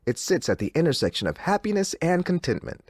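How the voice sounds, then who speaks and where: A man's voice: a little high, very animated, fast, a little mellow. An actor playing a theatrical, uneasily cheerful male radio DJ in a scripted game broadcast. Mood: smooth, introspective. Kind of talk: scripted radio host patter.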